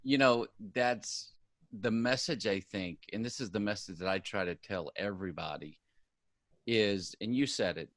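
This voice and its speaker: A man's voice: fairly low pitch, moderate pace, a bit bright, no room sound. A man hosting an interview, talking in an earnest and emphatic way. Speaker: show host. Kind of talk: conversational interview.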